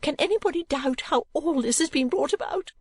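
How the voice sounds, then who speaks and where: Fussy, self-important older mother, played by a woman, prim and high-voiced. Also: lively intonation, a little fast, dry recording. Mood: distraught. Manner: prim, fussing, self-regarding chatter.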